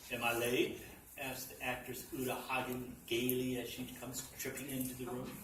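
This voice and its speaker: A man's voice: medium pitch, completely flat delivery, fairly bright tone. Theatrical male storyteller in an echoey room. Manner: theatrical, deliberate emphasis with sudden swells. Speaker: reader narrating a comic short story. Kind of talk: live dramatic story reading. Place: library function room at a live reading. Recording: echoey room, distant mic, noisy.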